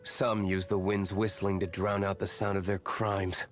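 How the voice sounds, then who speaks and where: An actor playing a brooding, aloof young nobleman vigilante in a video game, with a terse, guarded, measured manner. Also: low-pitched, little pitch movement, faint music behind. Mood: cool, grave. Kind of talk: scripted video game character lines.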